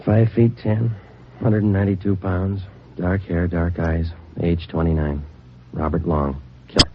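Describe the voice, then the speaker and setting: An actor playing a terse, hard-boiled police detective who narrates in a clipped, matter-of-fact way, in 1940s radio drama style. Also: male voice, low-pitched, level tone, a little slow, a little hiss or hum. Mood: weary, flat. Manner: clipped, matter-of-fact, barks orders in the chase. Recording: dull lo-fi vintage radio broadcast with music.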